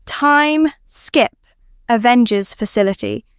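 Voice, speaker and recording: Clear-voiced woman narrating a story. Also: on the high side, expressive, takes it slowly, no echo, studio quality. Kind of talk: scripted fan fiction narration.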